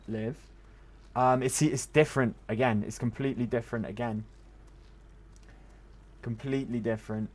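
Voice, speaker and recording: A man from Wales with a Welsh accent, chatting casually to his viewers while streaming a game. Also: medium pitch. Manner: rambling, chatty, answers chat. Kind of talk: casual gaming stream chat.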